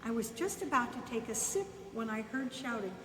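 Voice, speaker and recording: Female storyteller, bedtime story, higher-pitched, unhurried pauses, echoey room, faint background noise. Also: expressive, far from the mic. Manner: unhurried, with pauses between short phrases. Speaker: volunteer storyteller reading a children's book. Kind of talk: bedtime story read aloud, first-person narration.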